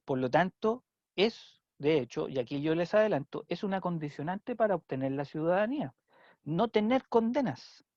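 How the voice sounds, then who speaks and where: A man's voice: a little high, expressive, moderate pace, a little mellow, no echo, highs cut off. A male teacher with a Chilean Spanish accent giving an online civics class, chatty and checking in often with his students. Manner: conversational, checks in with frequent "¿ya?".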